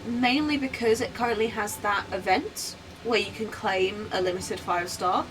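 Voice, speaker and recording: A woman's voice: mid-range voice, moderate pace, noisy background. A woman game streamer chatting casually over gameplay, rambling in a giggly way. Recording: slightly distant mic, music behind. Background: game music.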